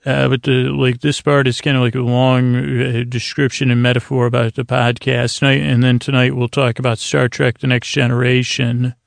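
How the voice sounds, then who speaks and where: A man hosting a bedtime podcast, rambling in a slow, languid, gentle and reassuring way with long, meandering pauses. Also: medium pitch, creaky, no echo. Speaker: podcast host.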